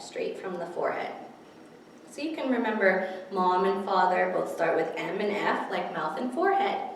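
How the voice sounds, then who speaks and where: Echoey, slow-paced woman leading children's storytime. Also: mid-range voice. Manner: simple, encouraging address to small children. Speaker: children's library storytime host. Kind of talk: children's storytime presenting, teaching and reading aloud. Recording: echoey room, distant mic, faint noise.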